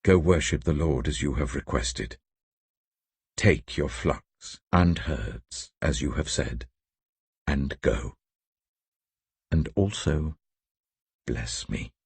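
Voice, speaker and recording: A man with a British accent voicing a defeated, commanding king in a scripture audiobook, terse and halting. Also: extremely low pitch, vocal fry, breathy edge, no room sound, heavily acted. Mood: broken, urgent. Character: defeated Egyptian king, commanding yet broken. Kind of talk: dramatised audiobook scripture reading.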